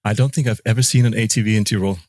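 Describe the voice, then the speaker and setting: Interview guest: man in his forties, deep voice, stammering restarts, dry close sound. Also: steady tone, a little mellow, no room sound. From Austria, raised in South Africa. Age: 40s. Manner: halting, many restarts and repeated words. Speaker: guest, motorcycle rider. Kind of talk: casual interview conversation.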